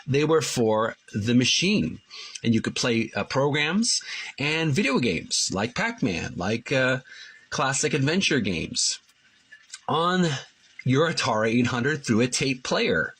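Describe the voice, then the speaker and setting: A man hosting his own channel, reading from a book and commenting casually, halting often with fillers and restarts. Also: medium pitch, big pitch swings, fairly bright tone, husky. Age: adult who played tape games in school.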